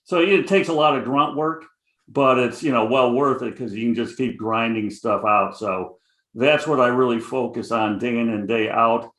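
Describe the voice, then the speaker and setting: Middle-aged Chicago trader, echoey room. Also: male voice, mid-range voice, normal speed, a bit bright. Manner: rambling, many "you know" and "uh" fillers. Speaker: guest options trader. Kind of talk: casual interview chat.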